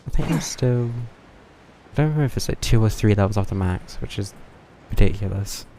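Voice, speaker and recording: Gravelly low-voiced man streaming a game. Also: brisk, warm tone, a little hiss or hum. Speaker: game livestreamer. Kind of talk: casual gameplay commentary, thinking aloud. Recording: game music behind the voice. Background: video game music.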